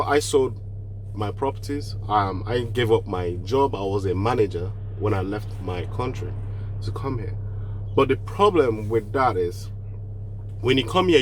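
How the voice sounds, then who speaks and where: A man's voice: on the low side, slight background noise, poor audio. A man from Nigeria with a cold, giving earnest, casual advice on online business straight to the camera and often restarting his phrases. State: has a cold.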